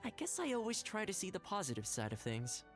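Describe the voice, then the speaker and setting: A woman's voice: mid-range voice, very animated, quick pace, music in the background, natural voice. A woman voicing a cheerful, easygoing young companion in a video game, chatty, friendly and considerate. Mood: gentle, reflective.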